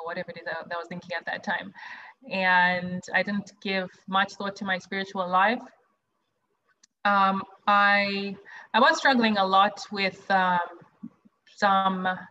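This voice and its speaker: A woman's voice: mid-range voice, pushed and bright, highs cut off. A young woman from Zimbabwe, a guest on a video call, telling her life story in a chatty, candid way with many fillers and restarts. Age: young adult. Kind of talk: personal testimony on a video call.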